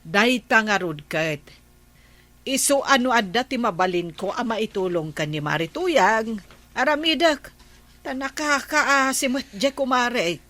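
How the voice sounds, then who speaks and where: A woman's voice: on the high side, expressive, measured pace, a bit bright. A woman playing a village captain's nagging but caring wife, scolding and emphatic, in an Ilocano comedy radio drama, with an Ilocano accent. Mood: concerned.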